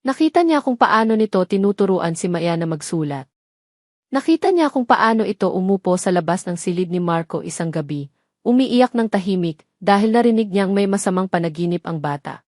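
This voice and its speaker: A woman reading a scripted dramatic story as narrator, measured, with pauses between short phrases. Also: medium pitch, animated, a little mellow, dry recording, clean studio sound.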